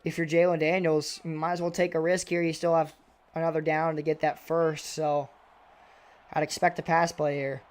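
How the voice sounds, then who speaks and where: Excitable high-voiced young male commentator. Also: moderate pace, clear, resonant tone. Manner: excitable, voice jumps high and loud on big plays. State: raspy voice. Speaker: video game football commentator. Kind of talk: play-by-play commentary.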